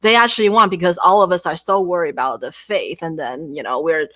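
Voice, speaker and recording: Female guest, non-native English, bright and dry, lively and self-deprecating. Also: on the low side, fairly quick, dry recording. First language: not English. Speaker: guest content creator. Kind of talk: casual livestream chat.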